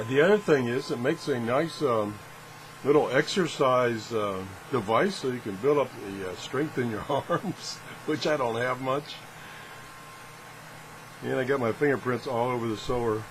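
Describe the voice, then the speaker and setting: A man's voice: mid-range voice, normal speed, slight background noise, low-quality recording. A man giving a casual, unscripted product demonstration, rambling and thinking aloud in a good-humored, self-deprecating way. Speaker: host of a radio product test video.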